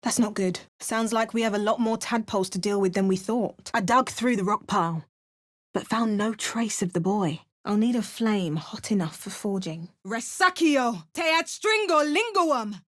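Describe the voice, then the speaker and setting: A woman's voice: mid-range voice, animated, normal speed, lightly acted. A woman voicing a determined adventuring heroine in a fantasy game, giving short wry remarks and narrating in the first person. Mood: steady. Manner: clipped asides, first-person narration and shouted spell incantations. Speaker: player character in a fantasy video game. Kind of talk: recorded game voice lines.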